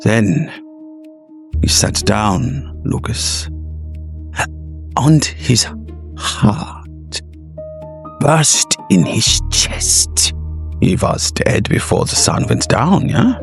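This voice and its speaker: A man voicing a proud, fervent middle-aged inventor professor with halting, deliberate phrasing in a gothic audio drama. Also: lowish voice, big pitch swings, gravelly, soft background music, heavily acted. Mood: sly, relishing.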